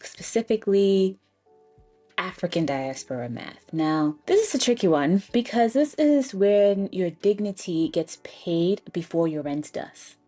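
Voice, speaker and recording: A young American woman telling personal stories in a casual, reflective, conversational way, pausing for emphasis. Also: lowish voice, animated, mellow, faint music behind. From Ghana and the Bronx, US. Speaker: personal finance storyteller.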